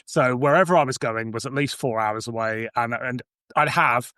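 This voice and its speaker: A man's voice: mid-range voice, moderate pace, pushed and bright, no room sound, clean studio sound. A man chatting casually on a podcast, musing informally as he talks. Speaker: podcast speaker. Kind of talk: casual chat.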